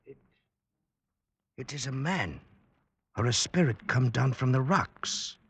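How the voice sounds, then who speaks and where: An actor playing a wary, superstitious blind villager in an old-time radio drama, with a husky voice and grave, archaic delivery. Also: male voice, mid-range voice, animated, slow, exaggerated voice. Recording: dull lo-fi vintage radio recording.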